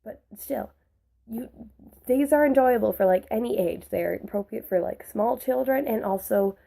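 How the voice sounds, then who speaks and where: A young woman with a hoarse voice from a cold, chatting casually and rambling through a book haul video. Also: on the high side, normal speed, mellow. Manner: rambling, many restarts and fillers. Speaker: book vlogger.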